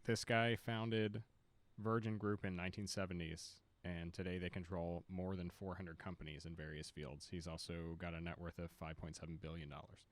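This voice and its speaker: A man's voice: sits low, little pitch movement, moderate pace. A man on a casual podcast reading out facts in a matter-of-fact way.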